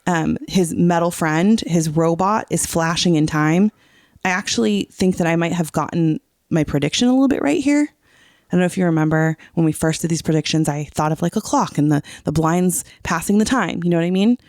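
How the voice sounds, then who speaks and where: Podcast co-host, mid pitch, fry in the voice, casual explainer who seeks confirmation, dry recording. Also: brisk, darkish tone, no echo. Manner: often checks that the listener follows. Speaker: teacher. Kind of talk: casual podcast chat, explaining song lyrics.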